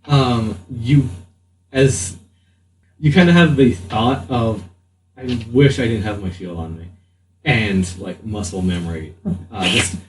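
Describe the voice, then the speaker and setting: A man's voice: lowish voice, takes it slowly, pauses often, slight background noise. A man improvising narration as game master of a tabletop role-playing game. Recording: roomy, distant mic, faint music underneath.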